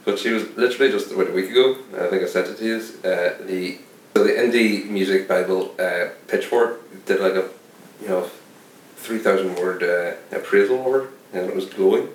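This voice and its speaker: A man co-hosting a casual film podcast with dry jokes. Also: fairly quick. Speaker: podcast co-host. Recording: echoey room, distant, faint rumble.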